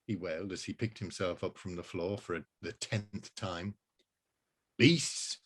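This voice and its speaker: A man voicing a bewildered male wizard from the Dark Ages, with archaic, dramatic, easily alarmed speech in a storybook reading. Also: low-pitched, a little slow, husky, pitched below the performer's own voice, heavily acted.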